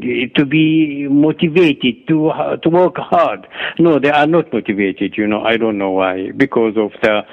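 Slow halting Ethiopian man on radio. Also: mid-range voice. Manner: halting, repeats and restarts words. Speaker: guest author and doctor. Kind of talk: interview answers. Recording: dull lo-fi radio recording.

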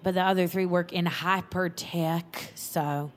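A woman's voice: fairly low pitch, steady tone, a little slow, natural voice. An actress playing a sassy, folksy, friendly woman, teasing and effusive, in an audio drama. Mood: gossipy, cautioning.

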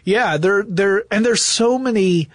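A man's voice: high voice, measured pace, no room sound, studio quality. An American man hosting a podcast in a chatty, wordy, conversational way with a playfully grand turn of phrase. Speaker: podcast host.

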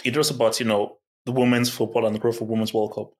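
A man with a mid-pitched voice in casual sports talk. He asks pointed questions and pushes his argument with emphatic repetition, as a podcast co-host. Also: little pitch movement, fast, a little mellow.

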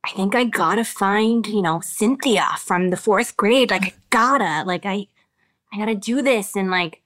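An American woman, an actress with children of her own, giving reflective interview answers with many pauses and restarts. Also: mid-range voice, fairly bright tone. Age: adult with children. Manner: thoughtful, halting, many restarts and fillers.

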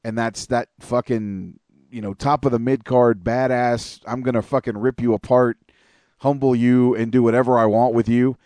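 A man's voice: mid-range voice, fairly even intonation, moderate pace, warm tone, no echo. Profane opinionated man in a dry room. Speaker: podcast co-host. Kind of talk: casual wrestling chat.

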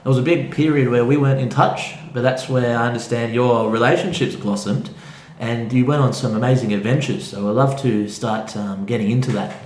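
Male podcast host, Australian accent, conversational interviewing style, mid-pitched voice in a roomy space. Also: steady tone, fairly quick, hardly any pauses, a little mellow, a little hiss or hum. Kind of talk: conversational interview with spoken introduction. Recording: roomy, echoey sound.

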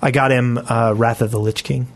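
A man's voice: mid-range voice, level tone, brisk. A man co-hosting a podcast in casual, joking banter. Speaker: podcast co-host.

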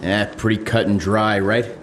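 An actor playing a smug, needling police detective in an audio drama, flippant and taunting. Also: male voice, fairly low pitch, fairly even intonation, normal speed, a bit bright, a little hiss or hum, lightly acted. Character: needling, smug male police detective with a round red face. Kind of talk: full-cast audio drama acting.

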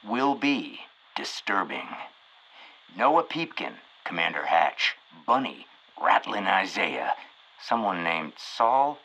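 A man's voice: on the low side, gravelly, bright, forceful tone, full character voice, poor audio. An actor playing a dramatic male newscaster in a comedy radio play, with grave delivery that drops into whispers. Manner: portentous delivery with sudden whispers.

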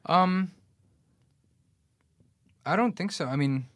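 A man's voice: sits high, takes it slowly, fairly bright tone, no room sound. A young man from New York City, an actor guest on a podcast, chatting casually with lots of fillers and swearing. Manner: loose and profane, many fillers.

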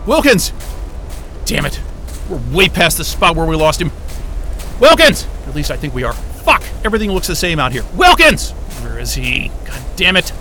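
A worked up sarcastic scientist man, bright high voice, blunt and irritable, audio drama. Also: huge pitch swings, moderate pace, faint music behind, heavily acted. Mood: alarmed, shouting. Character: excitable, foul-mouthed, irritable male astrophysicist at polar station. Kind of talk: naturalistic audio drama acting.

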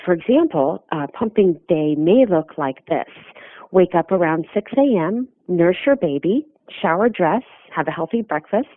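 A woman gives steady, instructional advice as a lactation consultant. Her voice sits fairly low. It comes through dry and narrow, like a phone line. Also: normal speed, no room sound. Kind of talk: scripted advice segment.

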